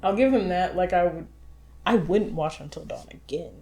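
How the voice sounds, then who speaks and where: A woman's voice: lowish voice, normal speed, dark tone. A young woman co-hosting a podcast, chatting loosely about movies with lots of fillers and the odd swear. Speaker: podcast co-host. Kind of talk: casual chat reviewing movies.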